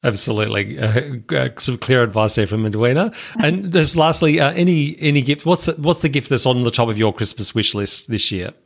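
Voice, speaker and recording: A man's voice: mid-range voice, lively intonation, fairly quick, creaky, few pauses. A man hosting a podcast interview, asking questions with hesitations and answering with short, friendly affirmations. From Australia.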